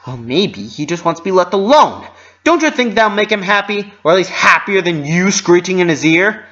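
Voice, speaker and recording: A narrator voicing a brisk female flower-seller pony from a cartoon storybook, sharp and quick to scold. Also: medium pitch, very animated, highs cut off, voice pushed up. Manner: sharp, indignant.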